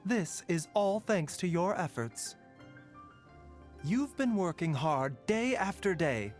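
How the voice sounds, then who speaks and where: An actor playing a gentle, caring doctor in a game, soft-spoken and measured, with frequent sighs. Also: male voice, high voice, sing-song, exaggerated voice. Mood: warm. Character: gentle, caring male physician, middle-aged. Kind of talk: game character voice lines. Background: faint music.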